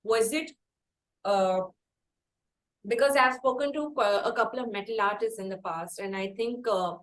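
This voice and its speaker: A woman with an Indian accent and an average-pitched voice, hosting a music interview. She speaks conversationally, building long questions with hesitations and rephrasing along the way. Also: moderate pace, low-quality recording. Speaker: interviewer, music show host.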